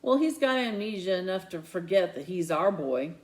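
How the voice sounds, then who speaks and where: A man's voice: high voice, expressive, moderate pace, rough recording. A woman voicing a bitter, complaining schoolboy sidekick in dialogue from a children's novel.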